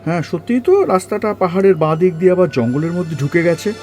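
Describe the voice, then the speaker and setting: A man's voice: sits high, lively intonation, fast talker, a little mellow, faint music behind. A man acting a bossy, curious man in charge in an audio story, with a commanding, colloquial manner. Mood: musing, brisk.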